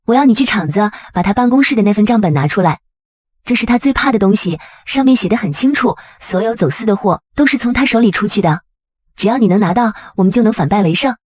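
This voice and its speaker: A woman's voice: mid-range voice, lively intonation, fast, darkish tone, slightly rough, dry recording, clean studio sound. A woman playing a shrewd, bitter and resolute businesswoman in an audio comic drama. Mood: bitter resolve.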